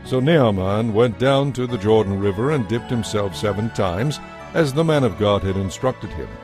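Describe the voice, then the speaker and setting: A man's voice: on the low side, expressive, normal speed. A man narrating a dramatized audio Bible as a scripted story, recorded close. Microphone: close, dry studio microphone. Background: faint music.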